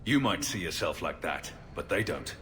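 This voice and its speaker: A man playing a grave but encouraging military officer in a video game, measured and earnest. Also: low voice, animated, sharp tone, slight background noise. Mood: earnest, insistent. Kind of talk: naturalistic game dialogue. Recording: music behind the voice. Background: game music.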